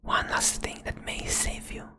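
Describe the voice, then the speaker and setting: Slow, softly whispering woman storyteller. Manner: soft whisper throughout, second-person telling. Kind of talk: whispered ASMR fantasy adventure narration.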